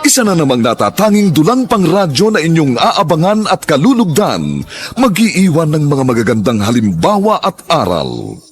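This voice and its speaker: A man with a Filipino accent hosting and narrating a radio drama in a solemn, theatrical, drawn-out style. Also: fairly high pitch, huge pitch swings, soft background music. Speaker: radio drama host and announcer.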